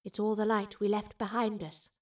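A woman's voice: medium pitch, flat delivery, moderate pace, far from the mic, close to an everyday voice. A woman voicing an eccentric woman professor who explains things lyrically and affectionately in an audio storybook. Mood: wondering.

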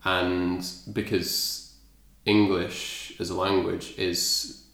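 A man's voice: low voice, little pitch movement, extremely slow, creaky, fairly bright tone. A man hosting a podcast in a thoughtful, rambling casual chat with many fillers. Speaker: podcast host. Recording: echoey room.

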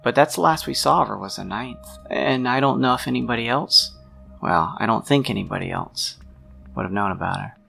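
A man playing a troubled, protective friend of a missing woman in a role-play drama, speaking in a halting, deliberate, sincere way with a gravelly voice. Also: mid-range voice, bright, forceful tone, heavily acted. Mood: earnest, subdued. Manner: halting, deliberate, pausing mid-sentence. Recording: music under the voice.

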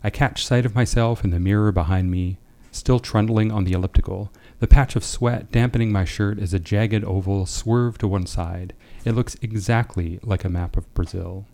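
Low, mellow male writer with gravelly edge. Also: steady tone, moderate pace, hardly any pauses. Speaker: writer, guest reading own flash fiction. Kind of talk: literary reading, then casual discussion.

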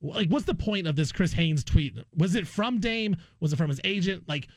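A male sports talk host with a fairly high voice and a dry, close sound. He argues his opinions emphatically and keeps throwing out rhetorical questions. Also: animated, moderate pace, no room sound.

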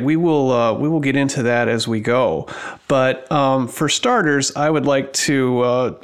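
A man in his forties hosts a podcast, asking curious questions and giving short reactions as his guest talks. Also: medium pitch. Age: 40s. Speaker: podcast host. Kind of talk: podcast interview.

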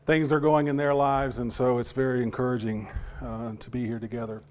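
Guy preaching a sunday sermon, voice half gone and kinda hoarse, stops and repeats himself, asks the church questions. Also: medium pitch, level tone. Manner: halting, repeats words, asks the congregation questions. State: partly lost voice, recovering.

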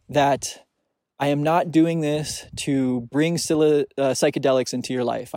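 Male podcast host with a soothing, slightly high voice; reflective solo talk, halting with restarts, dry close recording. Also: a little mellow, no room sound. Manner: halting, searching, with many restarts and fillers. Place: outdoors on a snowy mountain hike.

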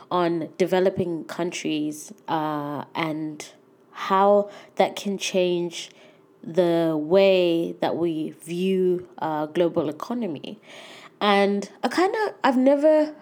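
A woman's voice: fairly low pitch, a little mellow. Nasal young woman with a cold. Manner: chatty and earnest, many fillers like "um" and "like". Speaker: podcast host. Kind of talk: casual solo podcast chat.

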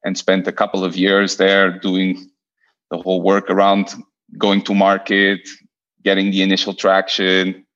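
Podcast guest, male, 30s, from Portugal; deep, flat delivery, stop-start phrasing, lo-fi remote recording. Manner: hesitant, many repeated starts. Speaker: guest, venture investor. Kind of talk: podcast interview.

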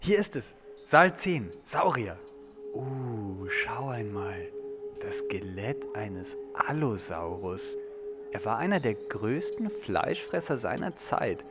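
A man acting an eager, excitable young dinosaur enthusiast in a youth radio play, explaining things dramatically. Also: mid-range voice, unhurried, loud music behind the voice. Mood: eager, awed. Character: eager, excitable young male dinosaur fan named Michel. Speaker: actor in an educational audio drama.

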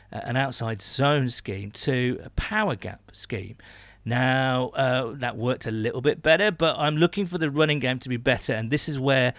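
A British man talks sport as a podcast host, with a medium-pitched voice. He is informal and blokey, keen and emphatic in his phrasing. The sound is very dry and close, with no room on it. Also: fairly bright tone, no room sound. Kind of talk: casual sports chat.

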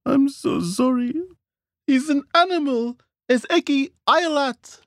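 A man voicing a highly strung, hot-tempered suburban wife, shrill and emotional in a comic story. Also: big pitch swings, slow pace, a little mellow, no echo, clean studio sound, full character voice. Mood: weeping.

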